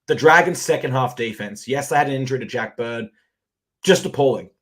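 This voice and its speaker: A man with an Australian accent hosting a live sports betting podcast, his voice a little husky, recapping games with energy. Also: medium pitch, lively intonation, fairly quick, a bit bright. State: slightly husky voice. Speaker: podcast host.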